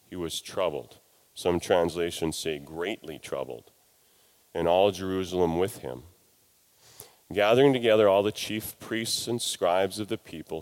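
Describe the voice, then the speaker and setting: Congested deep-voiced male pastor. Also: a little mellow. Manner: folksy, self-deprecating humor. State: congested from a cold and sinus infection. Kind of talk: sermon with scripture reading.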